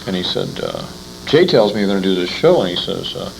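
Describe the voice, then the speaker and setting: A man chatting informally as an interviewer, rambling and halting, often repeating his words. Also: low-pitched, huge pitch swings, gravelly. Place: old-time radio convention. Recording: faintly noisy amateur convention tape.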